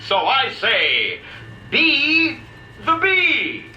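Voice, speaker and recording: A man's voice: very high-pitched, wildly swooping pitch, drawn-out words, sharp tone, roomy sound, slight background noise, full character voice, rough recording. An actor playing a folksy industrialist who preaches to his workers in a showy, sermonizing voice. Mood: preachy, jovial. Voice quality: showman-like, sermonizing, chuckling. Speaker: factory boss. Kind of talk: recorded motivational speech.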